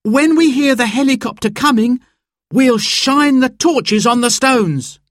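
A man's voice: extremely high pitch, lively intonation, unhurried, no echo, clean studio sound, voice pushed up. A man voicing an anxious, apologetic young boy who is eager to help, in a children's story.

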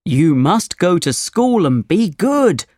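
A woman's voice: fairly low pitch, very animated, takes it slowly, a little mellow, dry recording, clean studio sound, exaggerated voice. A woman voicing a small, bossy cricket in a children's storybook, admonishing and firm. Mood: stern. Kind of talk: children's storybook character reading.

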